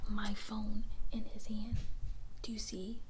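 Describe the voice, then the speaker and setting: A woman telling a casual story in a breathy ASMR whisper, repeating phrases for effect. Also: medium pitch, monotone, slow. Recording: lo-fi, loud noise, clicks.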